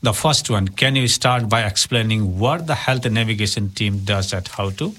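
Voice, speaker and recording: A man's voice: fairly low pitch, a bit bright, a little hiss or hum. Man co-hosting a community radio talk. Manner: reads questions formally, slightly halting English. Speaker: radio show co-host. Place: radio studio.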